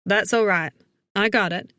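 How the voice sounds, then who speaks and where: A woman voicing a gruff, cocky, easygoing man with a Southern US drawl in an audiobook. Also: medium pitch, measured pace, bright, forceful tone, dry recording, studio quality. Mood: cocky, casual. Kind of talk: audiobook character acting.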